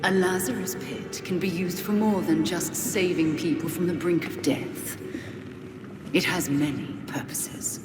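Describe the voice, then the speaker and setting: A menacing villainess from a video game cutscene , acted by a woman, seductive and imperious. Her voice is fairly low and deliberate, ringing in a big reverberant space with music behind it. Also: fairly even intonation, lightly acted. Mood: sly, menacing. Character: commanding female villain, cold, seductive leader of an assassin league. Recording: echoey hall reverb with loud music.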